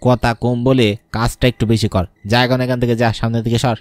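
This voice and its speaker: An actor plays a murderous younger brother in a horror cartoon. He is a commanding, greedy man with a curt, average-pitched voice, and faint music plays underneath. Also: level tone, fast, a little mellow, lightly acted. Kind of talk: Bengali horror cartoon acting.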